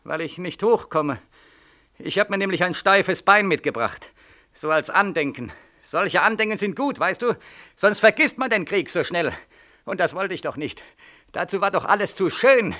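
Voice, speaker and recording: A young soldier back from war in an old radio play. His voice sits fairly high and cuts sharply. He is despairing and bitterly sarcastic, hammering on repeated phrases. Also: lively intonation, moderate pace, bright, forceful tone. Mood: bitter sarcasm. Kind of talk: 1940s German radio play acting. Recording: vintage 1940s radio studio recording.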